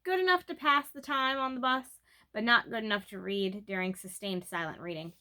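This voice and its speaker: A woman's voice: medium pitch, normal speed, sharp tone, lightly acted. Woman reading as an earnest little storybook girl. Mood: musing. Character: earnest, worried eight-year-old girl in a storybook.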